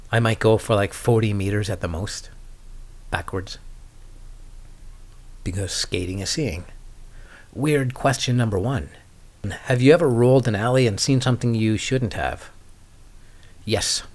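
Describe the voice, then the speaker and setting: A man hosting a skating podcast, chatting casually and ramblingly with dry, wry humour as he answers viewer questions. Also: lowish voice.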